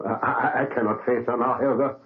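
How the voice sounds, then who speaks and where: An actor playing an older male surgeon and father, grave and halting, in a 1970s radio drama. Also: medium pitch, level tone, lightly acted. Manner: halting, hesitant, many uh's. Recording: tinny old radio broadcast with faint hiss.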